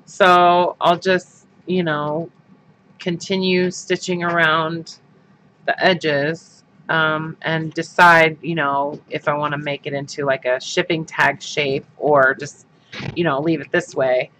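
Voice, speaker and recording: A man's voice: on the high side, level tone, slow, bright, forceful tone, slight background noise, low-quality recording. A man hosting a craft livestream, chatting casually and rambling with lots of fillers, his sinuses stuffed up. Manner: rambling, many fillers and restarts.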